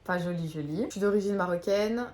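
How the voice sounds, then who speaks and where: A woman's voice: lowish voice, a little fast, darkish tone. Giggly young woman vlogging. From Belgium. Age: young adult. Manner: chatty, giggly, self-mocking. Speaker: YouTuber. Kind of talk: casual chat to camera.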